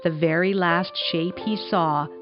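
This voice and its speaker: A woman reads a children's story as a scripted narration. Her voice sits fairly low. The sound is slightly dull, with music clearly audible underneath. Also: steady tone, a little slow. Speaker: storybook reader.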